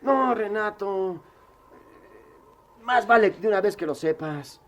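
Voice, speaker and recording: An actor playing a mischievous, good-hearted skinny young pal in a radio drama, colloquial and frank, with a Mexican accent. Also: male voice, high voice, animated, slow pace. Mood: earnest.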